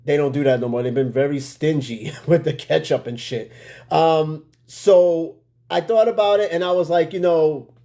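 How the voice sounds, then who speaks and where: A man's voice: a little high, fairly even intonation, moderate pace, mellow. An American man hosting a podcast in casual livestream chat, slangy and prone to swearing, with conversational asides to his listeners. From United States. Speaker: podcast host.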